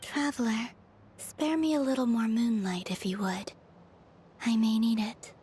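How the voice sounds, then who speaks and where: A calm moon maiden from a fantasy game. Her voice is somewhat high and soft-spoken, with gentle, polite, composed delivery over faint background noise. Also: measured pace. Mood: gentle, resolved.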